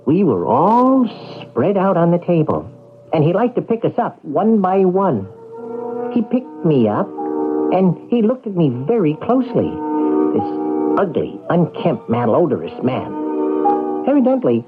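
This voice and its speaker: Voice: medium pitch, huge pitch swings, measured pace. An actor voicing a vain, prim talking dime that narrates its own story in a haughty way for radio drama. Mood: proud, disdainful. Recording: tinny old radio broadcast, music underneath.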